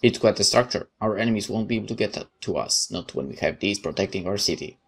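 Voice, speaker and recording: A man's voice: on the low side, fast, slightly rough. A man voicing a young, naive, eager soldier in a visual novel, earnest and obedient in manner.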